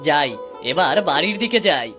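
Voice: mid-range voice, lively intonation, normal speed, music in the background, close to an everyday voice. An actor playing an easygoing village husband in a cartoon, grumbly but affectionate, with a folksy, exclaiming way of talking. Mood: relaxed, pleased. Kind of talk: cartoon voice acting.

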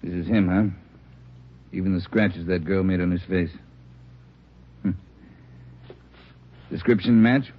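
A muffled vintage radio recording of a senior male police officer. His low voice is sombre and deliberate. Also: slightly rough. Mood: grim. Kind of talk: 1950s radio crime drama acting.

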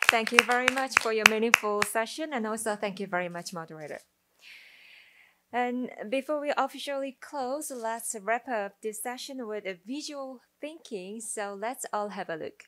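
A woman's voice: on the high side, moderate pace, pushed and bright. Upbeat woman event MC. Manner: upbeat, ceremonial, with short laughs. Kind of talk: event announcing.

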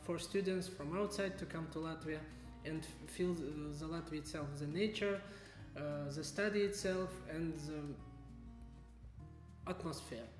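A young man from Uzbekistan speaks in a slow, deliberate way with a slightly high voice. The room is echoey. Faint music plays behind him. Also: fairly even intonation. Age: young adult. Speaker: international student. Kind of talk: casual interview.

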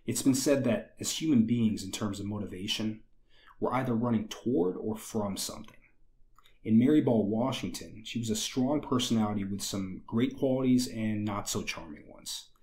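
Raspy young man narrating history. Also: lowish voice, moderate pace, darkish tone. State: recovering from illness, slightly hoarse. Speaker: history channel host.

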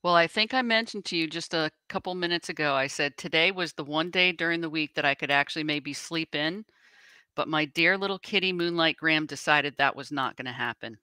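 A woman's voice: deep voice, a little fast, bright, forceful tone, smooth. A woman co-hosting a live stream in casual, conversational chat. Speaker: co-host running the live stream.

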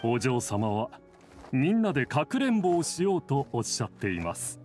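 A courtly raven servant, voiced by a man, making ceremonious announcements with lively pitch. Loud game music plays under him. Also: normal speed, natural voice. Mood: formal. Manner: polite, formal announcements. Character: formal male raven familiar, devoted butler. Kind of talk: video game voice acting. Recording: game audio with loud soundtrack.

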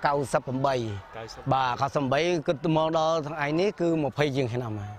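A man, a school principal, answering interview questions quickly with fillers. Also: medium pitch.

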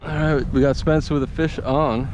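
A young man chatting casually and excitably as host of an outdoor fishing vlog. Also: fairly high pitch, moderate pace. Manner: excitable, whooping, laughing. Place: outdoors on a kayak on open water. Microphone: action camera mounted on kayak. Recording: noisy wind and water on action camera.

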